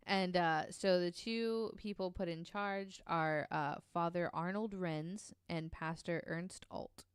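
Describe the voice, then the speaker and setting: A woman tells a story to her podcast co-hosts in a relaxed, chatty way. She fills her pauses with ums and swears offhandedly. Her voice is slightly low and smooth, and it sounds dry and close to the mic. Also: no room sound. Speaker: podcast co-host telling the story. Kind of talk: casual podcast chat.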